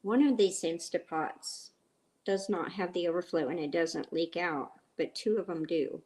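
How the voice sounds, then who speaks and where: A middle-aged woman with a raspy voice from a cold, chatting in a rambling, easygoing way as she hosts a cooking livestream and answers viewers. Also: lowish voice, normal speed, a little mellow. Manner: chatty and rambling, reads viewer comments aloud. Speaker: livestream host. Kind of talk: casual cooking livestream chat.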